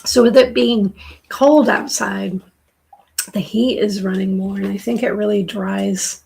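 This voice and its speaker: Slow, raspy New woman. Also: medium pitch, steady tone. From southern New Hampshire. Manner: slow, soft, soothing intuitive reading. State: dry throat. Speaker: tarot reader host. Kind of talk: live-stream tarot reading.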